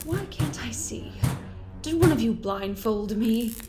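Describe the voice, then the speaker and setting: A woman's voice: on the high side, expressive, measured pace, loud music behind the voice. An actress playing a cold, haughty woman doctor who threatens people in an audio drama. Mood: confused. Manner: haughty, menacing.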